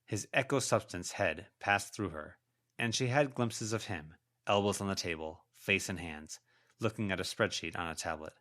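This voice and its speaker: A man's voice: deep voice, normal speed, a bit bright. A man reading scripted fiction as an audiobook narrator.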